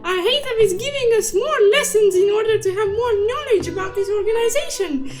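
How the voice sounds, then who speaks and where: A woman voicing a silly, excitable cute cartoon unicorn who narrates a comic story recap in a playful, exclaiming, mock-dramatic manner. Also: very high voice, a little fast, over music. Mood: playful storytelling.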